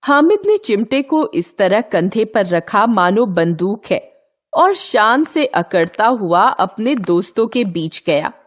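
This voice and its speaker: A woman's voice: medium pitch, sing-song, slow pace. A woman storyteller reading a scripted narration for a children's tale.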